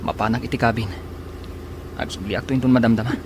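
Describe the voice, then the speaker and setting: Jealous boyfriend, radio drama, man acting: medium pitch, young, worried, evasive; noticeable background noise. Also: fairly even intonation, quick pace, natural voice. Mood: uneasy, evasive. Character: anxious, jealous young male sweetheart. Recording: clearly noisy, engine-like ambience behind.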